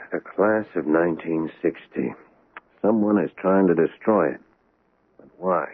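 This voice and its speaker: A blunt, world-weary police lieutenant with a low voice. He questions people flatly and firmly. The sound is thin and tinny, like an old radio broadcast. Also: measured pace, breathy edge. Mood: probing. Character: hard-nosed male police lieutenant, blunt. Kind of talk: 1970s radio drama acting. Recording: tinny lo-fi vintage radio recording.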